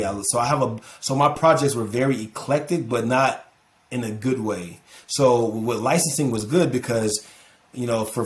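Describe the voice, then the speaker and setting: A man in his thirties, a guest on a podcast, talking reflectively with many repeated words. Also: mid-range voice. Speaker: podcast guest, music producer. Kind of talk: casual interview chat.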